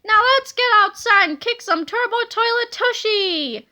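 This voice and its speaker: A woman's voice: very high-pitched, lively intonation, a little slow, pushed and bright, voice pushed up, exaggerated voice. A woman voicing an eager, agreeable, playful schoolboy sidekick in a children's storybook. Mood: excited. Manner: keen, agreeable.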